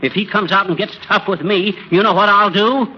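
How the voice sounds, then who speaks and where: An actor playing a cocky, folksy husband in a 1930s radio comedy, slangy and brash with stammering bluster. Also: male voice, high-pitched, steady tone, brisk, slightly rough. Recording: muffled 1930s radio recording.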